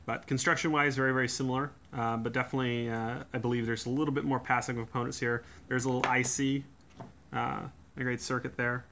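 A man talks casually as he takes gear apart at a workbench, his voice bright and forward. He fills pauses with "uh" and piles on technical detail. A faint hiss sits under the recording from the camera mic above the table. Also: mid-range voice. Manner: relaxed, many "uh" fillers, technical detail. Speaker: DIY tool reviewer. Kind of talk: casual hands-on teardown talk. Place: indoors at a workbench.

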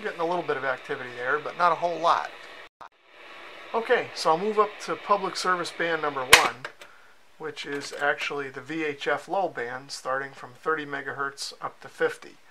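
A man giving a casual, low-key hands-on radio demonstration, musing aloud as he goes. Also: normal speed, bright, forceful tone, low-quality recording. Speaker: radio reviewer. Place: concrete-walled cellar. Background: shortwave radio noise.

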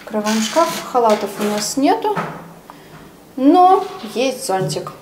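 A woman's voice: on the high side, big pitch swings, slow, background noise. A young woman giving a casual, chatty hotel tour while filming with a phone held at arm's length, with plenty of fillers and self-corrections. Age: young adult. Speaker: travel agency vlogger touring a hotel. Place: indoors in a hotel room and outdoors on resort grounds. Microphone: phone camera at arm's length.

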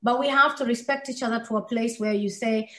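A woman's voice: a little high, fairly even intonation, brisk, pushed and bright. Earnest emphatic woman, echoey room. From Somalia, raised in Kenya. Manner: earnest and emphatic, repeating words for stress. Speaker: podcast guest. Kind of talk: heartfelt conversational interview.

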